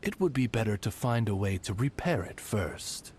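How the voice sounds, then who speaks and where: A man's voice: fairly low pitch, big pitch swings, normal speed, darkish tone, slight background noise. A man voicing a courtly raven servant in a video game, with a formal, ornate and pompous manner. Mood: grave, courtly. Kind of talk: video game cutscene acting.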